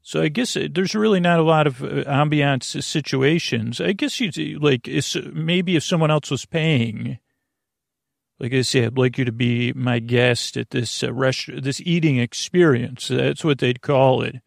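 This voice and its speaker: A gravelly-voiced man hosts a sleep podcast, drifting from thought to thought in a halting, unhurried ramble. He keeps catching and correcting his own words. The sound is dry and close, with no room around it. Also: mid-range voice, dry recording. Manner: meandering, halting, self-correcting, drowsy. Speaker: podcast host. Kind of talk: rambling bedtime podcast intro.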